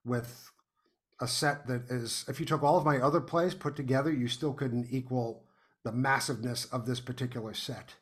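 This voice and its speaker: A middle-aged or older American man from New York City, chatting casually as an interview guest and telling anecdotes in an animated way. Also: medium pitch, normal speed. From New York City, United States. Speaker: podcast guest, playwright.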